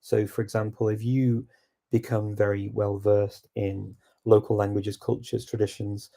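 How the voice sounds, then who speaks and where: A man hosting a casual live Q&A, answering viewer questions with relaxed, reflective advice. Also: on the low side, a little slow, vocal fry.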